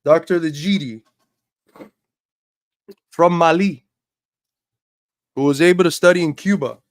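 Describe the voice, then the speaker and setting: A man's voice: on the high side, unhurried, dry recording, clean studio sound. A man hosting a livestream, talking earnestly and building to emphatic points while feeling under the weather. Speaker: livestream host. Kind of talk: casual livestream talk.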